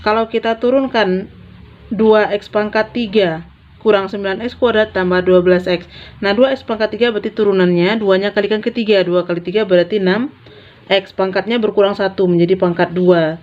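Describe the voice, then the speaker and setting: A woman's voice: medium pitch, steady tone, brisk. Lady math tutor, big sister style, goes step by step n repeats stuff while writing, kinda muffled cheap audio. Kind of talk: informal step-by-step lesson explanation. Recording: dull, lo-fi sound.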